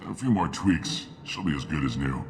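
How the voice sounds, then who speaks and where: An actor playing a gruff, cynical male mercenary sergeant and mechanic in a video game, with a curt, dismissive manner. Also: rumbling low, expressive, normal speed, a little mellow. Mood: matter-of-fact. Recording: clear background noise.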